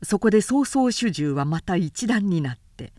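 A woman who works as a narrator reads a literary tale dramatically, in a measured, carefully articulated way. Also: medium pitch, animated, mellow, no echo. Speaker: professional narrator.

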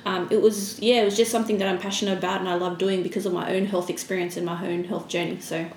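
A woman's voice: lowish voice, level tone, fast, darkish tone. A woman chatting casually as a podcast guest. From Fiji-born, raised in Australia. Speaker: podcast guest, small business owner. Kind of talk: casual interview chat. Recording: echoey room with background noise.

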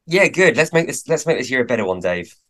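A man's voice: mid-range voice, big pitch swings, fast talker, fairly bright tone. A man co-hosting a podcast, chatting casually with a hesitant, rambling manner and many fillers. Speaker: podcast co-host.

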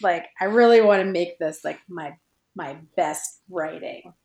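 A woman chatting informally about fan fiction, halting and restarting, with "like" scattered all through her sentences. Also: lowish voice, creaky, a little mellow. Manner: halting, informal, heavy use of "like". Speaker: podcast guest, fan fiction writer. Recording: roomy, echoey sound.

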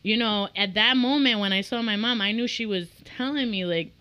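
A woman in her thirties from the Dominican Republic, a realtor talking openly in a casual podcast chat, animated and laughing often. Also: medium pitch, clean tone, no echo, muffled recording. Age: 30s. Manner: animated, laughing, talks in quick bursts. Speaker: realtor guest.

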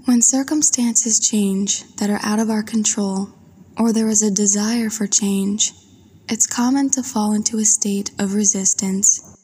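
A woman narrating a guided meditation in a soft, breathy voice, with a soothing, gentle and unhurried manner. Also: mid-range voice, fairly even intonation. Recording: long washy reverb.

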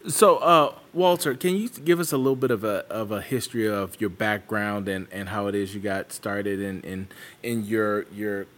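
A man's voice: lowish voice, fairly even intonation, normal speed, a bit bright. A man hosting a casual podcast, opening the show and asking questions in a loose, rambling way with restarts. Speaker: podcast host.

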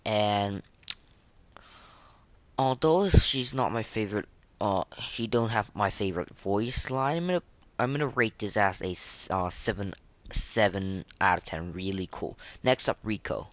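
Halting, rambling podcast host, dry sound. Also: low-pitched, no room sound. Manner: rambling, halting, repeats words and phrases. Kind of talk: casual chat rating game voice lines.

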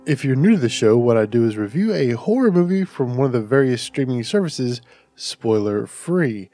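A man's voice: medium pitch, very animated, normal speed, dark tone, faint music behind. A man hosting a casual horror movie review podcast, sounding a little nasal and stuffed up from allergies, chatty and rambling with restarts. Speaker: podcast host.

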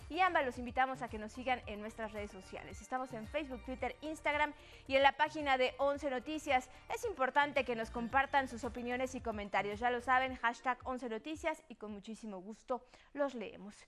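A woman's voice: high voice, fairly bright tone. A woman with a Mexican accent presenting broadcast news as an anchor in a formal, courteous manner.

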